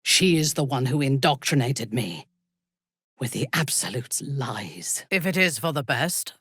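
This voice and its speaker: A haughty, dangerous warrior woman from a fantasy video game. Her voice is husky and breathy, recorded very dry and close. She speaks with imperious disdain and wide, expressive swings of pitch, every formal phrase carrying cold menace. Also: unhurried, dry recording, full character voice. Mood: scornful, measured. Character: haughty, menacing female warrior and former cultist, cold and commanding. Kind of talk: video game character voice lines.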